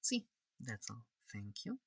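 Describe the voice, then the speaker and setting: A polite, curious alien examiner, played by an ASMR performer, speaks softly and slowly right at the mic. The voice is husky with a gravelly creak. The delivery is hesitant and reassuring, like a gentle examination roleplay. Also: medium pitch, huge pitch swings, no room sound, exaggerated voice. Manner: soft-spoken, hesitant, reassuring. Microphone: close microphone.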